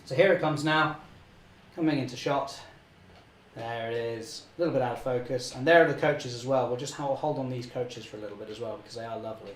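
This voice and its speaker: A young man, slightly hoarse, chatting cheerfully through a live model railway session and correcting himself often. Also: mid-range voice, fairly quick, reverberant, slight background noise. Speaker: model railway channel host. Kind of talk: live-stream running commentary.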